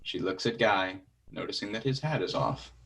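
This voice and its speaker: A man's voice: sits low, lively intonation, normal speed, creaky, a bit bright. A man reading out stage directions as scripted narration for a play reading.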